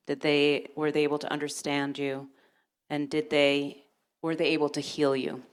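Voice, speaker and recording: Low-voiced Sudanese-born woman doctor. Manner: measured, poses questions to the audience. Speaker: panelist, OB-GYN. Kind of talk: conference panel talk. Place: lecture hall.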